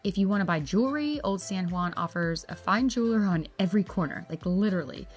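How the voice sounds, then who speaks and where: A woman's voice: medium pitch, expressive, fast talker, faint music behind. A woman with a Southern US accent, narrating a chatty, upbeat travel review in a folksy way. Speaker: travel vlogger.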